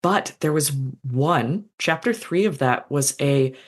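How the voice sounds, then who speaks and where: Podcast guest, female, very low voice; chatty, self-deprecating author. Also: animated, slow. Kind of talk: casual podcast interview.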